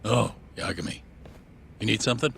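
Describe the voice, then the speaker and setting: An actor playing a gruff, skeptical older boss in an English-dubbed video game cutscene, blunt, with a gravelly voice. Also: male voice, fairly low pitch, a little fast, slight background noise. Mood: wry, curious. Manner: blunt, dismissive. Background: loud background music.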